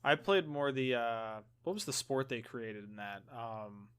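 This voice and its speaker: A man's voice: mid-range voice, unhurried, fairly bright tone, clean tone. A man co-hosting a gaming podcast, chatting casually with a joking, teasing manner. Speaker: podcast co-host.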